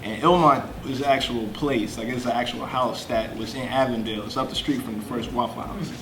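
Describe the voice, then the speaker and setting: A man's voice: mid-range voice, fairly quick. A young man from Chicago, a rapper in a group interview, telling stories with lots of restarts and laughter. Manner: animated storyteller, many restarts. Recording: echoey room with crowd noise.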